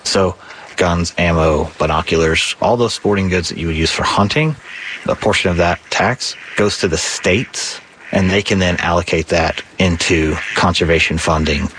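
A man explaining conservation funding in a steady, informative interview. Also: low voice, moderate pace, slight background noise, low-quality recording. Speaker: conservation project manager, interviewee.

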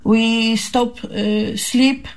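A middle-aged or older woman with a Polish accent, answering interview questions in halting, simple second-language English. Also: a little high, fairly even intonation. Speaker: charity founder, interviewee.

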